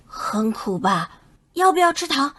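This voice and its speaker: A woman voicing a sweet, eager, caring little boy in an animated drama. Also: high-pitched, unhurried, a little hiss or hum, exaggerated voice. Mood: concerned, sweet. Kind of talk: Chinese animated drama voice acting.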